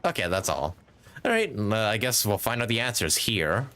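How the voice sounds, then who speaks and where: A male streamer reacts casually to a game. His pitch is very high for a man and swings widely, and his manner is brash, sweary and mock-indignant. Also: very animated, normal speed, sharp tone, soft background music. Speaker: live streamer.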